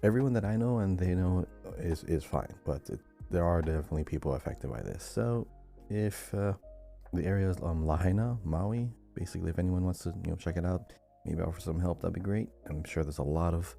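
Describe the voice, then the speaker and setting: Low, very mellow male podcast co-host. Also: brisk, vocal fry, faint music behind. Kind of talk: casual conversational podcast chat.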